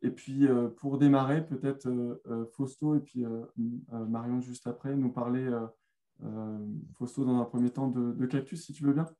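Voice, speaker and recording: A man's voice: mid-range voice, fairly even intonation, normal speed, dark tone. A man hosting and moderating a webinar over a video call, speaking hesitantly with many fillers. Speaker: webinar host.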